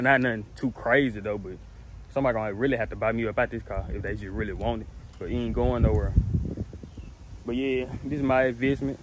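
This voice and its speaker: A nineteen-year-old man talks casually to the camera in a rambling vlog, repeating himself and restarting his sentences as he walks around outside. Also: mid-range voice. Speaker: car vlogger. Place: outdoors in a driveway beside a car. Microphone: phone held at arm's length. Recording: outdoor phone audio with background noise.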